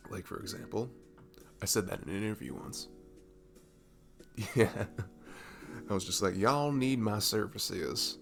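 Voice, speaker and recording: A man playing a sweet, goofy, comforting barista in an intimate ASMR roleplay, speaking softly and haltingly with a faint Southern US accent. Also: on the low side, expressive, creaky, soft background music, exaggerated voice. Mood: sheepish, amused. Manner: soft, halting, chuckling, drifting into near-whispers. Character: cute, goofy, comforting young male barista, sweet and self-deprecating.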